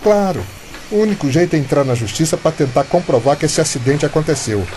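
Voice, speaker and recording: Actor as an animated, indignant Brazilian bricklayer. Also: male voice, on the high side, fast talker, slight background noise, close to an everyday voice. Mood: pleading. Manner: insistent, colloquial. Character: indignant, aggrieved injured male construction worker. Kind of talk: radio soap opera acting.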